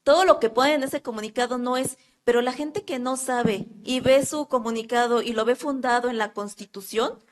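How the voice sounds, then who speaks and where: A woman with a Mexican accent asking a long question from the floor at a public talk, earnest and hesitant, with many fillers. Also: a little high. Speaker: audience member asking a question.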